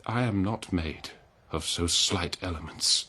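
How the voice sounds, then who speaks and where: A man playing a betrayed, noble king in a classical verse drama, solemn and declamatory, with long pauses. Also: low voice, sharp tone, a little hiss or hum. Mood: wounded, intense. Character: betrayed noble old-world king, anguished, forgiving husband.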